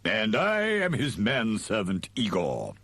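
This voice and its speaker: A man's voice: low voice, sing-song, slow, no room sound, exaggerated voice. A man voicing a gloomy, frosty manservant in a storybook, formal and dryly deferential. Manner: formal, peeved, scornful.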